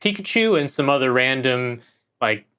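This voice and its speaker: A man's voice: mid-range voice, expressive, normal speed, a bit bright, smooth. A man co-hosting a gaming talk show, who chimes in with short quips and laughs. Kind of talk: casual chat.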